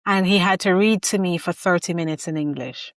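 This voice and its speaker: Low-voiced woman host, dry sound. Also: fairly quick, no echo. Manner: reflective, shares personal parenting experience. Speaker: podcast host. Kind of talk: conversational interview.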